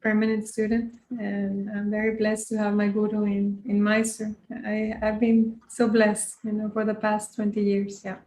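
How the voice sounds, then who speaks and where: Earnest yoga teacher lady from costa rica, soft-spoken, roomy echo around her. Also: mid-range voice, completely flat delivery, normal speed, hardly any pauses. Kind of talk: reflective interview talk.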